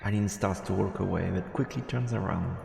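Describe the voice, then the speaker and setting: A man's voice: low-pitched, brisk, creaky, darkish tone. A man reads visual novel narration aloud dramatically as a streamer. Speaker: streamer narrating a let's play. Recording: roomy, echoing voice with long reverb. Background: game music.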